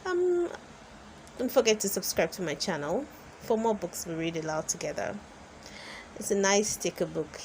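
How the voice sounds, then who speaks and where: A woman reading a storybook aloud for children in an expressive way, as the host. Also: mid-range voice, moderate pace, long pauses, a little hiss or hum, poor audio. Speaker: storybook reader and channel host.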